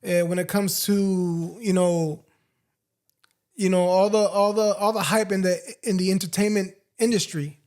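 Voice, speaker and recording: A man's voice: sits high, fairly even intonation, unhurried, darkish tone. A young man born in the United States co-hosting a podcast, talking casually, restarting phrases and dropping in Spanish words. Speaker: podcast co-host. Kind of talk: casual chat.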